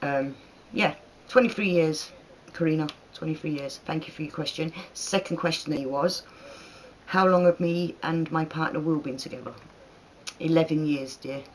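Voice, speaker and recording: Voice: medium pitch, normal speed, a little hiss or hum, low-quality recording. A speaker in their thirties from northern England, with an accent that mixes northern and southern English, casually chatting through viewer questions, rambling and laughing often. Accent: mixed northern and southern English. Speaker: channel host answering viewer questions. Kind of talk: casual Q&A chat.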